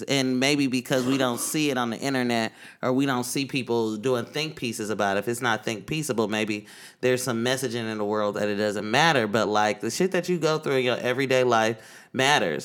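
Bright-voiced casual podcast co-host man. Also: lowish voice, clear, resonant tone. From East Oakland, California. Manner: loose, swearing, storytelling with slang.

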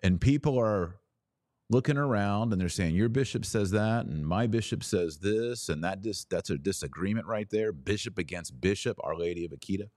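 A man hosting a religious news podcast, commenting and reading aloud with deliberate, emphatic phrasing and drawn-out words. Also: on the low side, a little mellow, no echo, clean studio sound. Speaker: podcast host.

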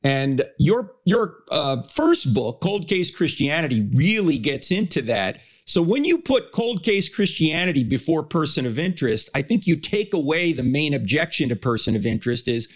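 A hoarse man with a New Jersey accent hosting a radio show and podcast, talking in an energetic, emphatic way. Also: fairly high pitch, normal speed, hardly any pauses. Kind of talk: casual radio talk.

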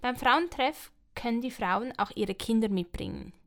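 A woman with a Swiss German accent, presenting and narrating a community radio feature in a clear, even way. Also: a little high, normal speed. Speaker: radio host.

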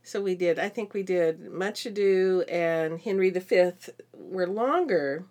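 A woman's voice: fairly low pitch, measured pace, darkish tone. A woman co-hosting a casual podcast in an agreeable, easygoing conversational way. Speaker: podcast co-host.

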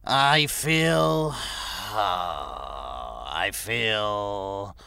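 Performer as a tiny, sulky, melodramatic villain; gravelly, dry, very slow drawl. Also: low voice, lively intonation, pushed and bright, no echo, heavily acted. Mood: grudging. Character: small frustrated theatrical schemer villain. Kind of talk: audio drama acting.

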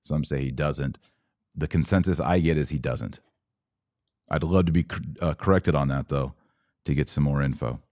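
A man's voice: very deep voice, moderate pace, long pauses, darkish tone, no echo, clean studio sound. An American man hosting a podcast, speaking casually and off the cuff as he reads out and answers viewer comments. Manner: conversational, reads aloud then responds off the cuff. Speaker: podcast host.

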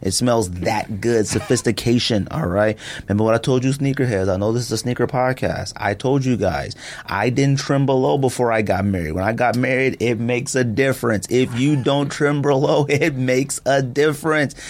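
A man hosting a podcast in casual, joking banter, animated and slangy, often repeating himself for emphasis. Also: mid-range voice, normal speed. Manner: animated, jokey, slangy and repetitive. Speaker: podcast host. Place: podcast studio, indoors.

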